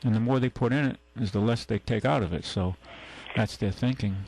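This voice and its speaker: A man's voice: medium pitch, fairly quick, a little mellow, poor audio. A man with a New England accent hosting a radio car show, talking in a rambling, folksy way full of fillers and restarts. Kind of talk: casual radio chat.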